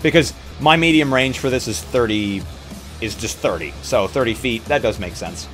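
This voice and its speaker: A man's voice: medium pitch, animated, normal speed, fairly bright tone, soft background music. A man chatting casually and thinking aloud as a player in a tabletop roleplay.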